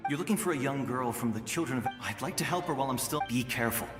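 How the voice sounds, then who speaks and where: An actor voicing the gentle, protective ghost of a fallen warrior in a video game, calm, earnest and wistful. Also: male voice, mid-range voice, quick pace, close to an everyday voice. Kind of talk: English video game voice acting. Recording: long echoing reverb effect, music behind.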